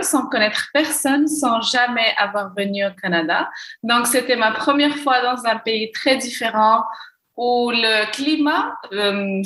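Moroccan woman, young, clear bright voice, echoey room, stops and restarts a lot when answering. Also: fairly high pitch. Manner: searching for words, frequent fillers and restarts. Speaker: podcast guest, gender equality consultant. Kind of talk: casual interview answers.

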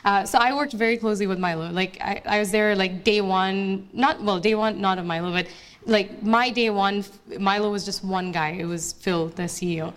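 A young woman from Bangladesh answers questions in front of an audience. Her talk is casual and chatty, full of fillers and restarts. Her voice sits at a middle pitch. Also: steady tone, moderate pace. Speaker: guest speaker, investment analyst. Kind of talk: casual onstage interview.

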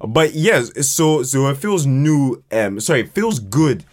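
A man chatting casually as a podcast guest, halting and often repeating words as he finds his way. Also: mid-range voice, lively intonation. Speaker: podcast guest, fiction podcast creator. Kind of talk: casual chat.

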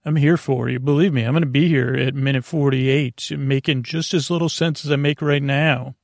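A man hosting a bedtime podcast, rambling and halting as he loses his thread, with gentle, silly deadpan humor. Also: mid-range voice, vocal fry, dry recording, clean studio sound. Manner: meandering, halting, often losing his thread. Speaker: podcast host.

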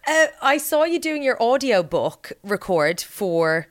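A woman with a fairly high voice and very animated pitch, chatting as an interviewer. She asks her questions casually and laughs along easily with her guest. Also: measured pace. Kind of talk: conversational interview.

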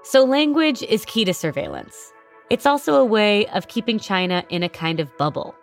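A woman host narrating a scripted story and interviewing a guest, with a measured explanatory delivery. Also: mid-range voice, very animated, creaky, faint music behind.

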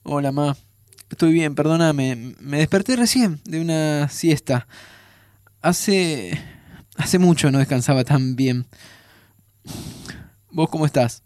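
An actor with an Argentine accent playing an anxious, guilt-ridden young time traveler, agitated and hesitant, in naturalistic audio drama. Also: male voice, a little high, slow, pauses often, darkish tone, no room sound, studio quality. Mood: apologetic, evasive.

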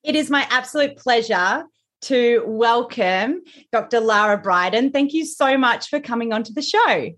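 A woman's voice: a little high, lively intonation, normal speed, fairly bright tone. A woman hosting a podcast, chatty and friendly, with frequent ums. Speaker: podcast host. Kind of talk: podcast interview.

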